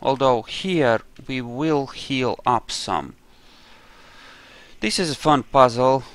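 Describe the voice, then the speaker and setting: Smooth-voiced man doing slow game commentary. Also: mid-range voice, a bit bright. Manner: offhand, sweary, thinking aloud. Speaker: gamer narrating his own playthrough. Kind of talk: casual video game commentary.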